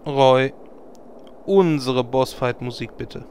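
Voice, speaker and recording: A man's voice: medium pitch, takes it slowly, loud music behind the voice, close to an everyday voice. A man playing a wry, sarcastic raid leader handing out orders in a German parody audio play. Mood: exasperated.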